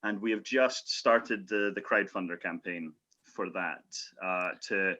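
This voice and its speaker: A man with a fairly low voice and a bright, forward edge. He talks casually as a screenwriter guesting on a podcast, in wordy sentences with tongue-in-cheek politeness. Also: measured pace.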